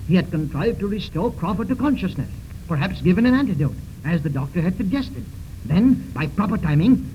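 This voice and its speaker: An actor playing a tense, resolute detective who narrates his case theatrically in a 1930s radio mystery serial. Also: sits high. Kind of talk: 1930s radio drama first-person narration. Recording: muffled, noisy 1930s radio recording.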